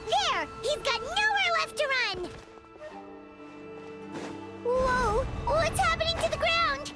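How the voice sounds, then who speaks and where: A tiny fairy companion for an anime game. The squeaky, childlike voice sits extremely high and swoops around with excitable, fretful energy. Music plays behind. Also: female voice, slow pace, full character voice. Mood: alarmed. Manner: squeaky, refers to self by name. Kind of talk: anime-style video game voice acting.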